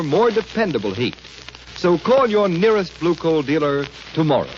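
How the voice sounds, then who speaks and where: A man delivering an emphatic, scripted sales pitch as a 1930s radio commercial announcer. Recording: muffled, noisy 1930s radio recording.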